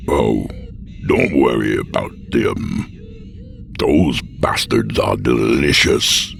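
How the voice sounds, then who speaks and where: A man voicing a weary, cryptic old man in a horror story, muttering slowly and hesitantly with hums and chuckles. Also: rumbling low, creaky, soft background music, voice pushed down, full character voice. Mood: wry, cryptic.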